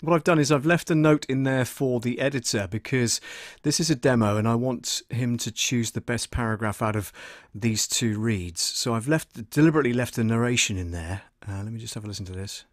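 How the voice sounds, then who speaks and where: A man's voice: mid-range voice, a little fast, hardly any pauses, no room sound, studio quality. A middle-aged man casually talking through his audio editing as a tutorial, sometimes muttering to himself as he works. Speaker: voice-over artist and audio producer giving a tutorial. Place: small indoor desk studio. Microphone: studio desk microphone, close.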